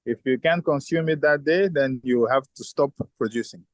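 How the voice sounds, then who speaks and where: A man speaking in a middle pitch, explaining things at a steady, thoughtful pace and often circling back to restart a phrase. His voice sounds dry and close, with no room echo behind it. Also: normal speed. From southern Africa. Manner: thoughtful, frequent restarts and fillers. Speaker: chemical engineer and company founder. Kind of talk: interview answers.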